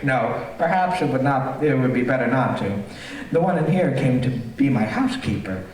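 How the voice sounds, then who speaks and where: A man's voice: mid-range voice, expressive, normal speed, darkish tone, natural voice. Man playing a gleeful, sinister killer, very echoey theater. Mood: sly reminiscing. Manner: theatrical, savoring words, punctuated by chuckling. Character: gleeful, sinister male killer who lures housekeepers. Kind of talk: live stage performance of an old-time radio horror play. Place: theater stage, live stream. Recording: very echoey theater, distant microphone.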